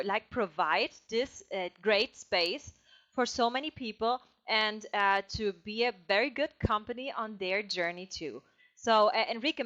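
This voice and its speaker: A woman's voice: on the high side, bright, forceful tone. A German woman co-hosting a podcast in English, speaking in a friendly, hesitant way with many fillers. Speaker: podcast co-host. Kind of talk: casual conversational podcast. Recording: slightly dull, lo-fi sound.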